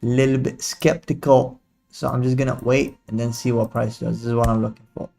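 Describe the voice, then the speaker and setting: A man's voice: medium pitch, level tone, brisk, creaky, dark tone. Slangy young man, trading stream. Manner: slangy, chatty, teasing viewers. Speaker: livestream trading host. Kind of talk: casual live commentary to chat.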